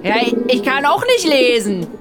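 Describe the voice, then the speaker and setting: A man voicing a slow-witted, hungry town policeman in a comic children's fairy-tale play. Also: extremely high pitch, very animated, voice pushed up. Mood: dopey, hungry. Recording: music loud behind the voice.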